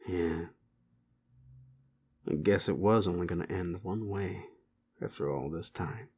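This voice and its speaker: Man voicing a low-voiced wry werewolf tough. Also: breathy edge. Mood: sardonic, musing. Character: gruff sleazy male werewolf, streetwise, gently protective. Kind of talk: intimate roleplay audio drama.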